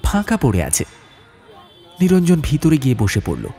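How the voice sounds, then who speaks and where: A man narrating a horror audiobook as a storyteller, with suspenseful, atmospheric delivery and deliberate phrasing. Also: medium pitch, wildly swooping pitch, noisy background.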